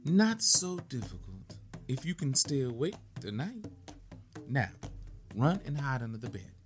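A man voicing the devil's kindly, sly wife in a high, fluttery way. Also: big pitch swings, unhurried, faint music behind. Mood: conspiratorial.

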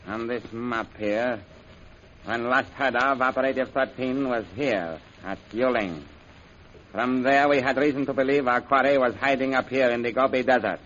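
A man's voice: mid-range voice, fairly bright tone, close to an everyday voice. Guy playing a stern formal chinese general in an old radio serial, dull sound w/ some hiss. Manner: deliberate, formal, authoritative. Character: commanding, methodical Chinese army general. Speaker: general giving orders and reading out messages.

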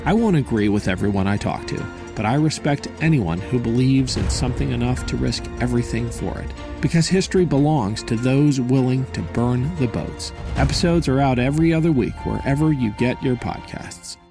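A man's voice: medium pitch, moderate pace, hardly any pauses. A man hosting an interview podcast, reading a scripted promotional spot. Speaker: podcast host. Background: music bed.

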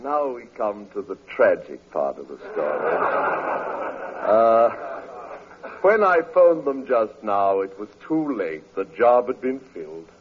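A man's voice: on the low side, big pitch swings, slow pace, slight background noise. A man playing a pompous, greedy older uncle in a 1940s radio comedy, speaking in flowery, self-important phrases. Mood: lofty, wounded. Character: idle, pompous, freeloading old uncle, grandiloquent and greedy. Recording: dull old radio broadcast recording.

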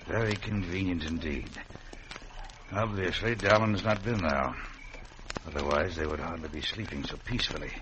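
An actor playing a pompous, cold-blooded villain in an old radio western, speaking in ornate, menacing phrases. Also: male voice, low voice, lightly acted. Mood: smug, sinister. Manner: pompous, ornate, deliberate wording. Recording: very noisy old radio recording.

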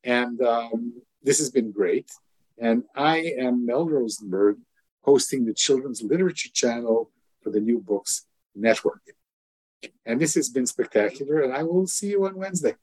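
An older man hosting an interview podcast, talking in a warm, effusive way with many restarts and fillers. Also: medium pitch, moderate pace, no room sound, rough recording. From lived in Israel fifty years. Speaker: podcast host. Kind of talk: casual interview chat.

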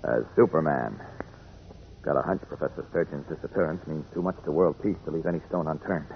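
An actor playing a resolute, booming superhero in 1940s radio style. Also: male voice, a little fast, vocal fry. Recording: muffled, noisy old radio recording.

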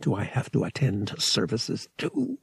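A man's voice: on the low side, wildly swooping pitch, unhurried, creaky, breathy edge, dry recording, exaggerated voice. A man voicing a young pilot in an audiobook, curious and wry, with a casual, dry manner. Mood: wry, muttering.

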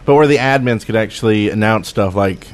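A man's voice: fairly low pitch, fairly quick, slight background noise. A man co-hosting a gaming podcast, chatting casually about what he thinks. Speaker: podcast co-host.

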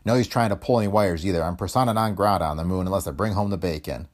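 Guy doing a gruff tough boss man, lowish voice, slangy n decisive, kind underneath, old sci-fi story. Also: quick pace, lightly acted. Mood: gruffly affectionate. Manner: brusque, slangy, growling. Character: gruff, decisive male space-film boss with a soft heart.

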